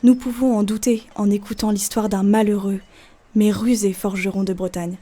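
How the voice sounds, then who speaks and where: A woman's voice: mid-range voice, level tone, moderate pace, dark tone. A woman narrating a folk tale as a scripted audio story. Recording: faint music behind. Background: faint music.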